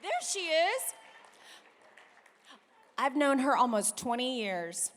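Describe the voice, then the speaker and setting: A woman co-hosting a gala, talking loudly and telling lively stories into a handheld microphone. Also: sits high, slow, background noise. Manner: loud, lively, storytelling. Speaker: event co-host. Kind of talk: live emcee banter at a gala. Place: large hall at a gala event. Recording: reverberant hall.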